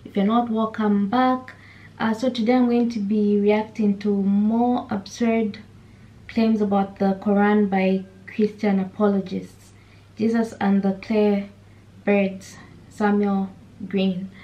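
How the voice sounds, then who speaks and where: A young woman hosting a reaction video, greeting viewers in a relaxed, unhurried way from her bedroom. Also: mid-range voice, lo-fi sound. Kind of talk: casual reaction intro. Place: bedroom with gaming chair.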